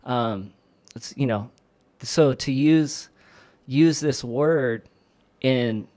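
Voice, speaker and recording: A man's voice: medium pitch, extremely slow, a little mellow. A man talking as a podcast guest, earnest and searching for the right words. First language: English. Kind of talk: casual chat.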